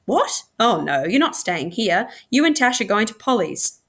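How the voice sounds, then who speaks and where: Female reader playing a mild storybook dad, soothing, apologetic, expressive pitch. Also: normal speed. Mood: reassuring. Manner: reassuring, apologetic. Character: mild, placating father, a music teacher.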